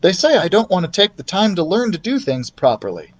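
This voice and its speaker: Man as an earnest young boy, lightly raised pitch. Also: sing-song, fairly quick, highs cut off. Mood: candid. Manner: earnest, confiding. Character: friendly, candid young boy, impatient to grow up.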